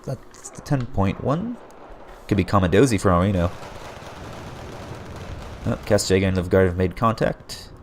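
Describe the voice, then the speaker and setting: Man, game caster, hoarse and raspy, low voice, excitable play-by-play. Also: moderate pace, pauses often, slight background noise. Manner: excitable, frequent surprised exclamations. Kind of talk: play-by-play commentary on a strategy game replay.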